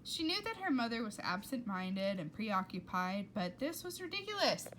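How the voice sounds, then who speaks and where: A woman reading a children's book aloud with expressive, playful and dramatic narration. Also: mid-range voice, normal speed, a little hiss or hum, low-quality recording. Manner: dramatic, playful delivery with whispers and asides. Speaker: read-aloud storyteller.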